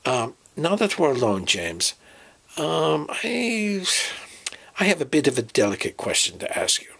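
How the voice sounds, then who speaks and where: A man playing a fussy professor and inventor who speaks in a halting, hedging way, in an amateur audio drama. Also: a little high, huge pitch swings, creaky, a bit bright, slightly rough, pitched above the performer's own voice, heavily acted. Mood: awkward, secretive.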